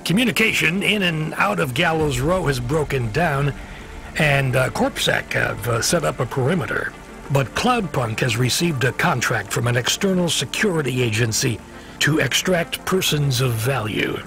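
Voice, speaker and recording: A man's voice: medium pitch, animated, moderate pace, vocal fry, fairly bright tone, soft background music. An actor playing a weary, avuncular dispatcher in a video game, hesitant and folksy as he hedges awkwardly. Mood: evasive, businesslike.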